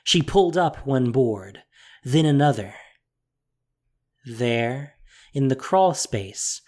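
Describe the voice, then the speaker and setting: A measured fantasy narrator who takes the prose slowly. The delivery is expressive, with a lively, rising and falling pitch. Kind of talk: scripted fantasy story narration.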